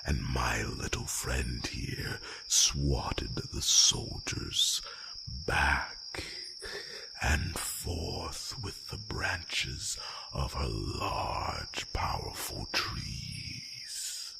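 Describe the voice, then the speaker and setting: A man voicing an ancient, courteous, proud dragon in a fantasy ASMR roleplay, speaking formally in a gravelly, breathy near-whisper with rumbling hums. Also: wildly swooping pitch, full character voice. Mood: proud storytelling. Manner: slow, formal, drawn-out phrasing with rumbling hums. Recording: noisy track with loud nature ambience.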